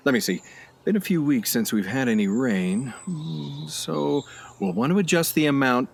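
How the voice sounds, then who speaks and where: An actor in a radio play voicing a breezy, self-assured man who bluffs expertise in a folksy, smooth-talking way. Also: mid-range voice, moderate pace, background noise, natural voice. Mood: folksy, cocky. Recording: faint outdoor bird and insect ambience.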